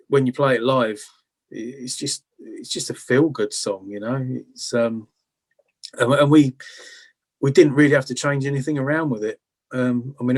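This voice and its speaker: A British man chatting casually and informally as a guitarist being interviewed, often adding tag questions. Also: mid-range voice. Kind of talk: casual chat about music-making.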